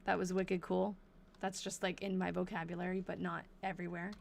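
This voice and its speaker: A young woman with a New England accent, chatting casually on a live stream as she reads an old diary aloud slowly and thinks out loud. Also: lowish voice, flat delivery, gravelly, a little hiss or hum. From New Hampshire. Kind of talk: casual livestream chat and slow diary reading.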